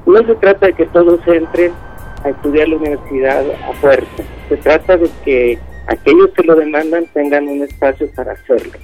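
A man, a university professor, giving measured, explanatory interview answers. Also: on the high side. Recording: dull lo-fi dry sound, faint music.

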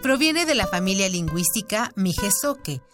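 A woman reading an informative radio segment in an even, scripted way. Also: medium pitch, moderate pace, soft background music. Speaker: announcer. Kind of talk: scripted narration.

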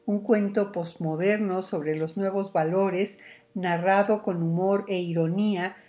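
A woman hosting a radio literature program, giving a clear, formal closing announcement. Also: mid-range voice, warm tone.

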